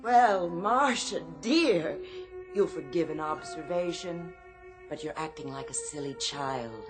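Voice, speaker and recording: An actress playing a haughty living mannequin in a radio drama, condescending and drawing out her words deliberately. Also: low-pitched, expressive. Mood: condescending, eerie. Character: haughty, knowing female mannequin come to eerie life. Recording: radio drama with clear music underneath.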